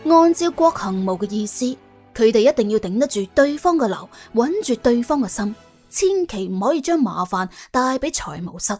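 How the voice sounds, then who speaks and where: A Cantonese woman tells a crime story with wry humour and colloquial flair. Her voice is on the high side and swings widely in pitch. Light music runs underneath the narration. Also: big pitch swings, moderate pace, darkish tone. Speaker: audiobook narrator.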